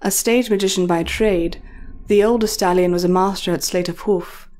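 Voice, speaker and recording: A woman's voice: lowish voice, fairly even intonation, moderate pace, darkish tone, faint music behind. A woman reading scripted story narration as the narrator of a tale.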